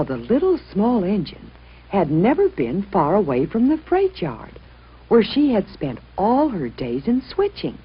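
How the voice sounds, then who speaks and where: A woman's voice: lowish voice, breathy edge. A woman narrating a children's story expressively, as a storyteller. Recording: muffled, noisy old-sounding recording.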